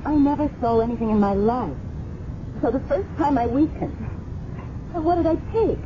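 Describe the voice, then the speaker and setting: An actress playing a candid, rueful working woman in a 1970s radio drama, expressive and confessional. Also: sits high, normal speed. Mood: guilty, rueful. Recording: dull, noisy old radio broadcast.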